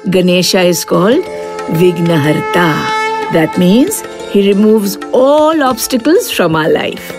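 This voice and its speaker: A woman's voice: mid-range voice, animated, measured pace, music in the background. A woman playing a kindly, wise old grandmother in a children's cartoon. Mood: patient, approving.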